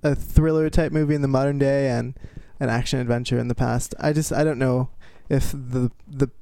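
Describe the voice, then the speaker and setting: A man's voice: medium pitch, steady tone, normal speed, creaky, warm tone, no room sound. A man talking casually as a panelist, reasoning through his thoughts in a conversational group chat. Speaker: podcast panelist.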